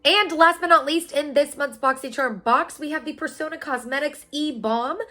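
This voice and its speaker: A woman in her thirties talks brightly to her viewers, her fairly high voice roughened and stuffed up by a sinus infection. She keeps an upbeat, chatty vlog manner, with soft music faint underneath. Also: normal speed. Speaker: beauty vlogger. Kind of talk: product unboxing review.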